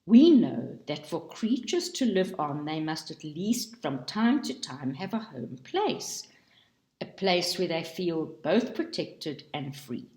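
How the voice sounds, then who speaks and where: Woman reading a book out loud, slow and singsong, roomy echo. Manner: slow, measured reading with lively pitch and occasional slips. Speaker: reader.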